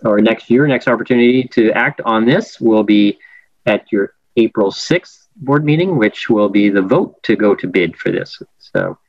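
A man with a fairly low voice gives a project update over a video call, pausing often with fillers and restarting his sentences. The audio is dull and lo-fi, with a dry, close sound. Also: expressive, dry recording. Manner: halting, many fillers and restarts. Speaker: project architect presenting to a school board.

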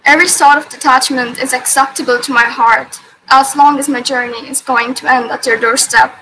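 High bright young woman reciting poetry. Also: steady tone, moderate pace, slight background noise, rough recording. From India. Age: young adult. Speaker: poetry competition performer. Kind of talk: spoken word poetry recitation. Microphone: remote online call.

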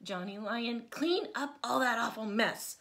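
A woman's voice: a little high, measured pace, vocal fry, fairly bright tone. A woman voicing a firm, scolding mother lion in a storybook.

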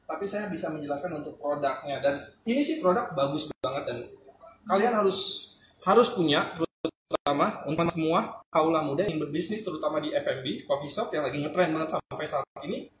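A young man giving a casual, animated product demo in a small kitchen showroom, filmed on a phone camera a few steps away. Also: a little high, fairly quick, slight background noise. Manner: chatty, animated, gestures while explaining. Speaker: product reviewer. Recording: echoey room with loud music.

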